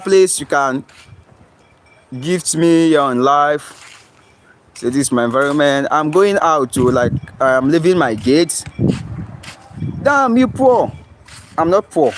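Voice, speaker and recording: A young man with a Nigerian English accent chats through a livestream on his phone, walking around outside his home. His voice sits a little high for a man, and a faint hiss of noise runs under it. He reads out viewers' comments and answers them, often checking "you understand?". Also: fairly bright tone. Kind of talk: casual livestream chat. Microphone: phone camera, flipped to show surroundings.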